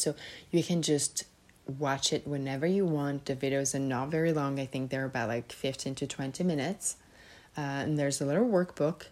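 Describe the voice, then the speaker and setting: A woman's voice: low-pitched, a little mellow. A woman from Serbia hosting a solo podcast, talking casually and rambling a little, with frequent fillers and self-corrections. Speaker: podcast host.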